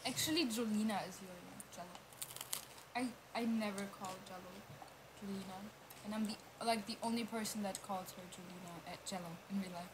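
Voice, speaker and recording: A woman's voice: medium pitch, brisk, background noise. A young woman, a native German speaker, chatting casually on a livestream and laughing through her stories. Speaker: livestream co-host.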